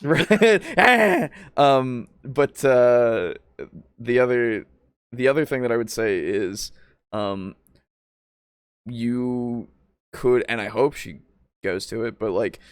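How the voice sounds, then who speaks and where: Guy co-hosting a podcast, casual and sweary, medium pitch, really dry sound. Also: expressive, slow pace, pauses often, clear, resonant tone, no echo. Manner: informal, freely swearing. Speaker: podcast co-host. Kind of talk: casual discussion among friends.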